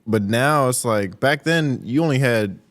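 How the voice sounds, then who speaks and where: A man's voice: mid-range voice, expressive, a little slow, fairly bright tone. A male podcast host asking questions in an easygoing, casual way, sometimes slipping into playful impressions. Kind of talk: casual conversation.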